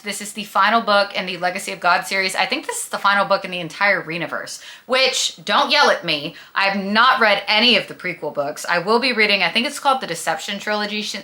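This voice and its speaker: A young woman book vlogger with a very bright, forward voice and a slight lisp. She talks casually and gushes with dramatic emphasis, drawing out the words she wants to stress. Her pitch sits in an ordinary middle range for a woman. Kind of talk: casual, chatty book review to camera.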